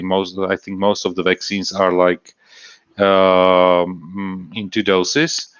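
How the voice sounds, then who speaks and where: A man's voice: lowish voice, dry recording. A man from Slovakia chatting casually on a stream, answering a chat question with many fillers and restarts. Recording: dull lo-fi stream audio.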